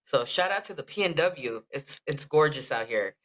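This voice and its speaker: A man born in Ensenada and raised in San Diego, co-hosting a podcast in hyped street slang full of shout-outs. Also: mid-range voice, expressive, normal speed. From Ensenada, Mexico; raised in San Diego. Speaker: podcast co-host. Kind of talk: casual chat. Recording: dull lo-fi sound.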